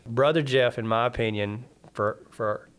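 A man with a Southern American accent, a missionary pastor earnestly telling his church story in an interview. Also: medium pitch. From Southern United States. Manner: earnest, quick-talking, frequent restarts.

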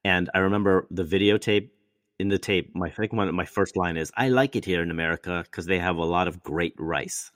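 A man's voice: low-pitched, a little fast, a bit bright, no room sound, studio quality. A male actor telling long, rambling stories in casual chat, often restarting and quoting himself. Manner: rambling, many restarts and quoted asides.

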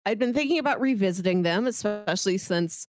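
A woman's voice: medium pitch, expressive, fairly quick. A woman co-hosting a podcast, talking casually in a review chat. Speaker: podcast co-host.

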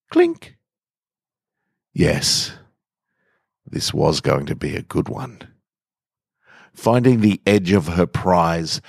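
Male podcast narrator, very low voice, slow measured delivery, dry sound. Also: creaky, slightly rough, no room sound. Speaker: podcast host and story reader. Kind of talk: literary story narration and podcast outro.

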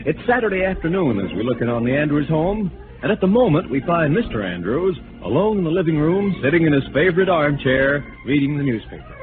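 High, animated old-time radio announcer man. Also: a little fast, music in the background. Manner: emphatic, polished salesman delivery. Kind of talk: 1940s radio announcing, scripted ad copy. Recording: tinny old radio broadcast.

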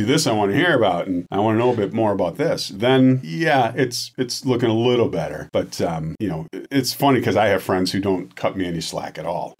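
Low-pitched, rambling middle-aged man. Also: breathy edge. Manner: self-deprecating, rambling, chuckles often. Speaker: guest, audio drama writer and director. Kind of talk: casual interview talk about writing.

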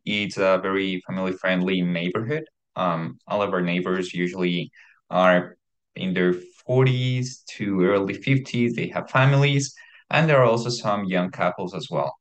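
Man, very low pitch, Ecuadorian Spanish accent, panelist, informal talk. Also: low-quality recording. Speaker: panelist, MBA student.

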